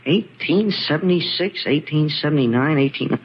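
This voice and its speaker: An actor playing a brash, wisecracking reporter in a 1940s radio mystery, breezy, slangy and protective. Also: male voice, fairly high pitch, animated, normal speed, lightly acted. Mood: breezy, bold. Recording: muffled, noisy 1940s radio recording.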